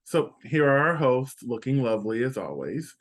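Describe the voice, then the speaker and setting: A man's voice: medium pitch, big pitch swings. A sassy, playful man with a Texan accent, co-hosting a casual review chat. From Texas. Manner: sassy, playful, drawn-out emphasis. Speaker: co-host.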